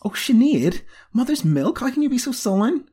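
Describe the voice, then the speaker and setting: A man voicing a cheeky, excitable nineteen-year-old female apprentice with a pert, eager, girlish manner. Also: medium pitch, normal speed, vocal fry, husky, exaggerated voice. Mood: exasperated, excited. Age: young adult.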